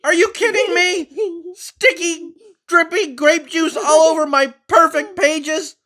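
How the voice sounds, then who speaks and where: A man's voice: a little high, huge pitch swings, takes it slowly, pushed and bright, pitched above the performer's own voice, heavily acted. A man voicing a small, fussy, boastful frog who is obsessed with neatness and prone to melodramatic outbursts, reading a picture book aloud. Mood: panicked. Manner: prim, scolding, melodramatic outbursts. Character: fussy, boastful neat-freak frog, small, easily outraged.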